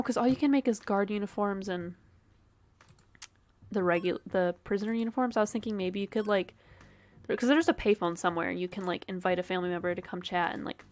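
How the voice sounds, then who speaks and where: A woman streamer with a sniffly, dry throat, casually thinking aloud and swearing while she plays a game. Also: mid-range voice. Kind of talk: casual game livestream commentary.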